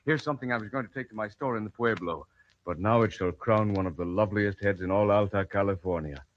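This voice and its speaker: A man performs an American trader in middle age, a bashful bachelor in an old radio drama. His voice sits fairly low. The recording is dull and vintage. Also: fast, close to an everyday voice. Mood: flustered, earnest.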